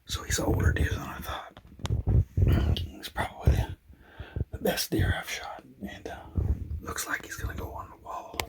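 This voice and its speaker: A man whispering a hunting vlog outdoors, hushed, rambling and excited. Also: normal speed, pauses often, low-quality recording. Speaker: hunter vlogger.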